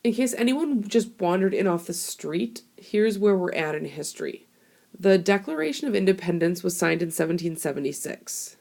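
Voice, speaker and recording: Hoarse woman teacher with a gravelly voice. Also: fairly low pitch, expressive, moderate pace, darkish tone. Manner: casual, chatty explaining with fillers. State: hoarse from a cold. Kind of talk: classroom screencast lesson. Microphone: tablet microphone.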